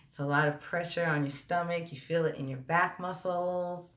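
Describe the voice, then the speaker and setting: A woman vocal coach with a slightly hoarse, tired voice, chatting directly to viewers during a live-stream lesson and answering their questions. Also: lowish voice, fairly even intonation, normal speed, a bit bright. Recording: roomy echo.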